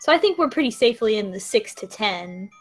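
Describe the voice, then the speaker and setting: A woman's voice: medium pitch, big pitch swings, brisk, slightly rough, faint music behind. A woman co-hosting a live stream, talking casually with friends as they decide things together. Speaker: stream co-host. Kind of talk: casual group chat.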